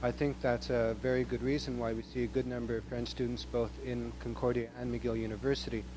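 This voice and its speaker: A man's voice: medium pitch, flat delivery, a little fast. Brief: male audience member, earnest question, noticeable tape hum. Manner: earnest, long-winded question. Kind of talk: question from the floor. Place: university auditorium.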